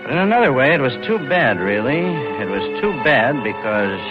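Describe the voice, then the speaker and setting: An actor playing a cold-blooded, scheming young bank clerk who narrates in cynical, slangy 1940s radio noir style. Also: male voice, mid-range voice, big pitch swings, normal speed, lightly acted. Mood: cynical, confiding. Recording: dull lo-fi 1940s radio, loud music.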